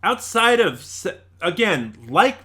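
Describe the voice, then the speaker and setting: A man's voice: high-pitched, very animated, slow pace, pushed and bright. A man from Nevada with an American accent, hosting a review channel with casual, opinionated ranking commentary and an informal, emphatic delivery. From Nevada, United States.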